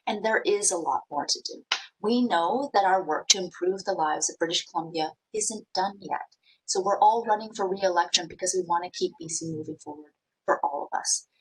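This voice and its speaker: A woman's voice: mid-range voice, animated, normal speed, vocal fry, breathy edge, poor audio. A Canadian-born woman politician giving an earnest campaign speech at an online panel, steadily listing achievements.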